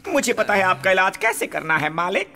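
A man voicing a sly, fawning cartoon jackal sidekick in a wheedling, excitable way. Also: high-pitched, brisk, exaggerated voice. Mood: scheming, eager. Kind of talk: animated cartoon dub acting. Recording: loud music behind the voice.

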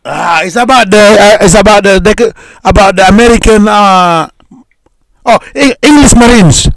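A man's voice: sits high, normal speed, gravelly. A man from North Sumatra in his late forties, hosting a casual video-call chat in a rambling way, repeating his words. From North Sumatra, Indonesia. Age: 40s. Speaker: host.

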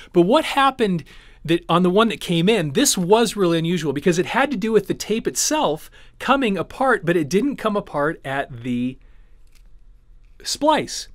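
Higher-voiced man explaining casually, dry sound. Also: no room sound. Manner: conversational, patient step-by-step explaining. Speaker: presenter, audio transfer studio owner. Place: small dim wood-panelled indoor studio.

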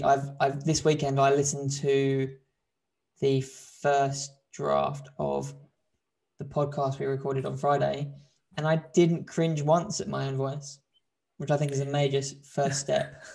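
A young man chatting casually on a video call, talkative and quick to laugh, often restarting his sentences. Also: mid-range voice, level tone, gravelly, darkish tone. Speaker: host doing a video challenge. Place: bright home room. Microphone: video call, desk mic.

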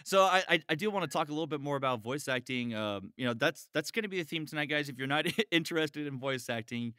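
A man's voice: a little high, expressive, fast talker, bright, forceful tone, no room sound, studio quality. A man hosting a podcast about voice acting in casual chat. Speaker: podcast host, voice actor.

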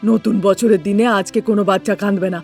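A woman's voice: mid-range voice, flat delivery, fast, vocal fry, a little mellow, faint music behind, lightly acted. A woman playing a bossy, nagging elderly mother-in-law and grandmother in a cartoon, with a scolding, insistent manner. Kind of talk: Bengali cartoon dialogue.

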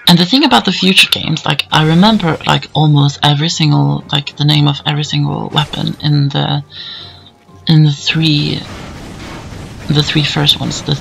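Hoarse, gravelly-voiced man narrating gameplay. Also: fairly high pitch, steady tone, moderate pace, a bit bright, faint music behind, rough recording. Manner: rambling, easygoing, many fillers. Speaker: let's play commentator. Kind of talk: casual gameplay commentary voice-over.

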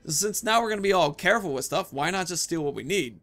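A man's voice: high-pitched, lively intonation, quick pace, a bit bright, faint music behind. A man in his thirties giving casual, playful gameplay commentary, rambling and talking to himself as he plays. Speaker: gaming video host.

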